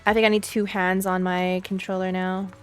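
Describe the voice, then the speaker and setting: A woman's voice: medium pitch, level tone, normal speed, soft background music. Woman streamer, casual and sarcastic. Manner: sarcastic, blunt asides. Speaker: streamer reacting to a game. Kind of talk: casual reaction commentary, reading dialogue aloud.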